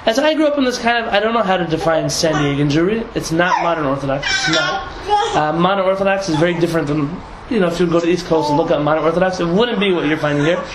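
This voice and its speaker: A young man from San Diego, a rabbi talking casually to a group, lively and laughing with many restarts and asides. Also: a little high, fast, hardly any pauses. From San Diego, United States. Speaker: rabbi teaching a class. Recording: echoey room, audible noise.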